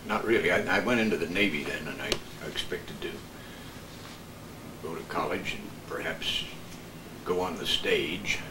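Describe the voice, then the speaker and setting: An older man chats casually about old times in a halting way. His voice is fairly low. He sits a little off the mic, and there is clear noise in the recording. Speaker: interview guest, retired radio man. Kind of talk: casual reminiscing chat. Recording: noisy, distant mic.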